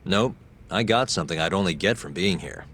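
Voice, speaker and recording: An actor playing a sharp young male private investigator who asks questions in a calm, probing, methodical way, in a game scene with music behind. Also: low-pitched, brisk, a little hiss or hum. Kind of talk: game dub acting.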